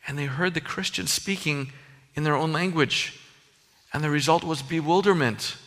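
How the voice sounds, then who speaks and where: Pastor, male, Minnesota accent, bright and slightly high voice, earnest conversational sermon, reverberant hall. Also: normal speed. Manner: earnest, conversational preaching with personal asides.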